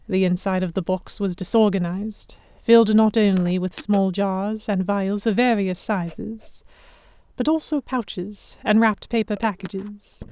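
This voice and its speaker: A woman playing a restless, observant young heroine, narrating a pirate story in the first person in a measured, literary manner. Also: mid-range voice, a little mellow, no room sound, lightly acted. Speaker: narrator and lead actor.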